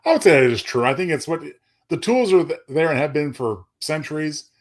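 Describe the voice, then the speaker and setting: A man's voice: on the high side, animated, brisk. A man co-hosting a casual chat show, talking in an agreeable, reflective way. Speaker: co-host.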